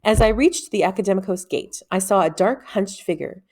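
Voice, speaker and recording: A woman reading a children's fantasy novel aloud as an expressive first-person narrator. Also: mid-range voice, moderate pace, breathy edge. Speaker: audiobook-style reader.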